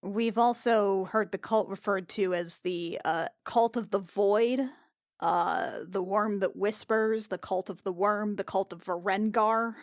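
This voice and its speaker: A woman's voice: mid-range voice, steady tone, a little slow, no room sound, studio quality. A woman playing a tabletop role-playing game, talking informally and casually in character.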